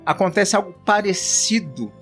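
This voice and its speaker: Brazilian male co-host, slightly high voice, easygoing and joking, faint guitar behind. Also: moderate pace. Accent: Brazilian Portuguese. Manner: relaxed, joking, acts out quotes. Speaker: podcast co-host. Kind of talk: casual Bible commentary chat.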